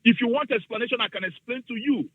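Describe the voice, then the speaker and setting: A man's voice: very high voice, fast talker, slightly rough. A man with a Nigerian accent calling into a radio show, giving a fervent, preachy speech and slipping into Igbo phrases. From southeastern Nigeria. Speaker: radio call-in listener. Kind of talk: impassioned call-in speech. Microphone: phone. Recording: tinny phone line.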